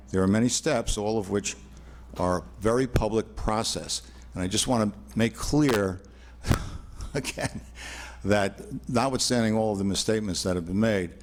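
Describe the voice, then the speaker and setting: An older man speaks as an attorney before a town board, his fairly low voice carrying loudly into a podium microphone. He delivers his case in a measured, formal way, pausing on frequent fillers. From New York, USA. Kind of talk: formal public presentation. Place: town hall meeting room.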